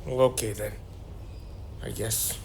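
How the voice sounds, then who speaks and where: An actor playing a rowdy, childish gangster who is drunk, slurring and rambling. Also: male voice, fairly low pitch, fairly even intonation, measured pace, creaky, heavy background noise. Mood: drunk, sulky.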